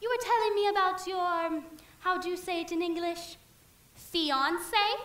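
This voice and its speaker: Actress as fake Frenchwoman, very high, bright. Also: normal speed. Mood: coy. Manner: put-on French accent, coy, bumbling. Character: young woman faking a French accent. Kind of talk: amateur stage musical. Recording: echoey stage from far off.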